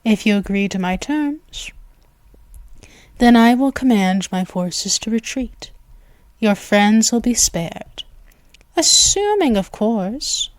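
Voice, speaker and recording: A woman voicing a seductive, menacing female vampire ruler in an ASMR audio roleplay, speaking slowly and teasingly and slipping into whispers, close to the mic. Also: on the high side, animated, no room sound. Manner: slow, purring, teasing, often whispering.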